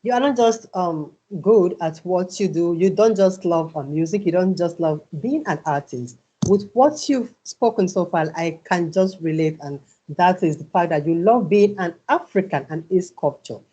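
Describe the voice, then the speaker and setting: A woman's voice: on the low side, moderate pace, mellow, breathy edge. A woman interviewer asking questions hesitantly, often repeating words as she starts them. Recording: dull lo-fi sound.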